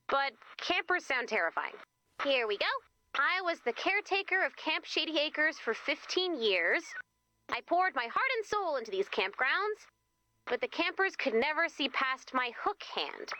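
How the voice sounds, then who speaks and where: An actress playing a young, perky camp leader in a video game, chatty, expressive and wryly exasperated. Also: female voice, high voice, no echo, heavily acted. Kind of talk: video game character voice lines.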